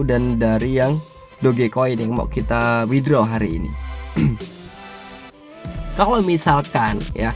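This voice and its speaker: Hoarse, slangy man. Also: medium pitch, darkish tone. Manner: slangy, rambling, frequent throat clearing. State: hoarse, unwell. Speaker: YouTuber reviewing crypto sites. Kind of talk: casual screen-recorded tutorial.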